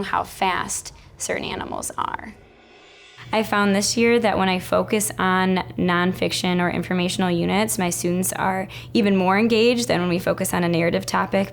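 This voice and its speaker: A woman's voice: fairly low pitch, vocal fry, slight background noise. A woman kindergarten teacher explaining lessons in an instructional way and talking through a picture book. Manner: instructional, repeats and expands on answers. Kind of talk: explaining teaching and leading an interactive read-aloud.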